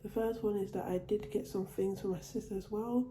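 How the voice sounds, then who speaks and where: Dark-voiced woman chatting in a haul vlog. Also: medium pitch, steady tone, fairly quick, echoey room, slight background noise, low-quality recording. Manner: chatty, repeats and restarts phrases. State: recovering from a cold. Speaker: vlogger. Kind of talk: casual haul video. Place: at home, indoors.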